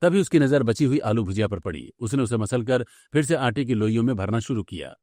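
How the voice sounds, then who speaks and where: A man's voice: medium pitch, expressive, a little fast, dark tone, no room sound, studio quality. A man reading scripted narration for a family cartoon story.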